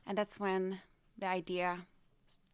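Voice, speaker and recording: A woman's voice: mid-range voice, level tone, measured pace, clean tone. A middle-aged woman from Denmark talking candidly and reflectively as a guest in a casual interview. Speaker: interview guest, fashion designer.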